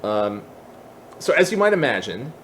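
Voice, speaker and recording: A man presenting a software tutorial, explaining step by step in a semi-casual way with casual asides. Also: medium pitch, sing-song, moderate pace, a little hiss or hum.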